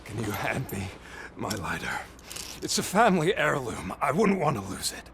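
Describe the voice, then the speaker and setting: Unhinged game villain, creaky taunting voice, jumps all over from hushed menace to yelling. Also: male voice, mid-range voice, huge pitch swings, normal speed, background noise. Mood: sly, taunting. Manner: swings between quiet menace and shouting. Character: manic criminal villain, adult male, taunting and volatile. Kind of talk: video game voice acting.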